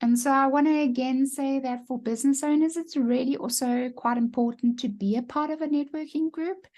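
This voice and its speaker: A woman's voice: high-pitched, fairly even intonation, fairly quick, darkish tone. A woman hosting a podcast interview, upbeat and encouraging as she asks her guest questions. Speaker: podcast host.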